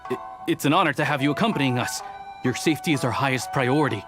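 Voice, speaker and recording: A man's voice: mid-range voice, fast, over music, close to an everyday voice. A man playing a flustered, deferential guard in a video game, formal and hesitant as he eagerly serves. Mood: flustered, dutiful.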